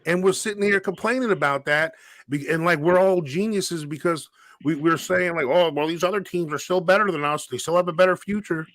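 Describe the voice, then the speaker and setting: A man's voice: a little high, fairly quick, a bit bright, no echo. A man in his forties co-hosting a casual sports debate, arguing his point and repeating himself to drive it home. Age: 40s. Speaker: podcast co-host.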